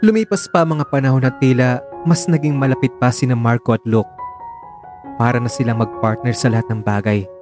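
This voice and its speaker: A man's voice: mid-range voice, fairly quick. A man narrating an audio drama with scripted, storytelling delivery. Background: faint music.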